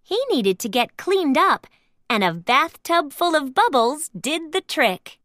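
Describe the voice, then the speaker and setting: Woman, high voice, unhurried pace, children's storybook narration, expressive enunciation, close dry recording. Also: no echo. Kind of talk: children's read-aloud scripted narration.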